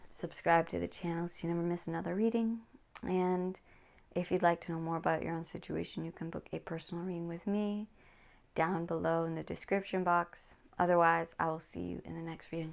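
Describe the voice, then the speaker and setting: Low, gravelly woman giving a soft tarot reading. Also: flat delivery. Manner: slow, soothing, drops into whispers. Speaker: tarot reader. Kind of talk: pick-a-card tarot reading.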